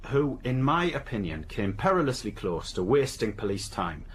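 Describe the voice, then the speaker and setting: An actor as an insolent male detective inspector in a radio drama. He has a mid-range voice with lively rises and falls, delivered dry and flippant. A faint noise sits behind him. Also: medium pitch, moderate pace, lightly acted. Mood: dry defiance. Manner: deadpan, flippant.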